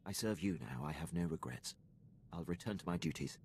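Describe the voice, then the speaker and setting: An actor playing a formal, dutiful imperial starship captain in a video game, with stiff, deferential and precise military diction. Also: male voice, low-pitched, expressive, a little fast, creaky, a little mellow, a little hiss or hum. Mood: humble, loyal. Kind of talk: scripted video game dialogue.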